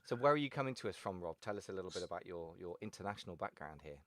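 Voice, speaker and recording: A man's voice: low voice, animated, racing, fairly bright tone. A man hosting a podcast over a video call, chatty and playful, joking with listeners while he interviews his guest. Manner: playful, chatty, jokey openers. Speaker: podcast host.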